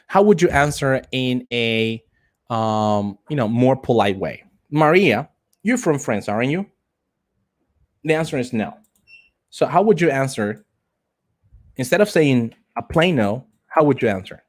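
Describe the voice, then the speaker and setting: English teacher, man repeating phrases deliberately. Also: medium pitch, lively intonation. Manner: repeats phrases, slow deliberate emphasis on example sentences. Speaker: English teacher for call center trainees. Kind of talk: live online lesson, prompting students.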